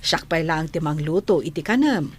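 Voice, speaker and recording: A woman playing a caring, gently worried household nanny in a radio drama, with a gentle, doting manner. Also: low-pitched, animated, moderate pace, husky. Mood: fond, doting. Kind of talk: Filipino radio drama acting.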